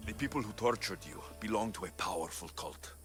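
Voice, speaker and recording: A man's voice: fairly low pitch, fairly quick, fairly bright tone, soft background music, lightly acted. A man playing a stern, commanding mercenary. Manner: firm, interrogating, blunt. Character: hard-edged young male Greek mercenary, commanding. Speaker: player character, mercenary. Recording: game score underneath.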